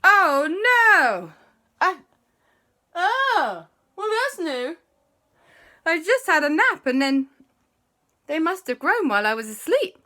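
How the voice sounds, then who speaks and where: A woman's voice: medium pitch, big pitch swings, measured pace, long pauses, sharp tone, voice pushed up. A woman voicing Andy, an easygoing, chatty man who rambles and sounds amazed.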